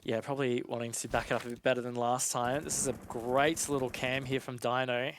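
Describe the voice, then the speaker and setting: A man's voice: medium pitch, level tone, fairly quick, slight background noise. A man working as an esports commentator, giving live analysis in a conversational, explanatory way.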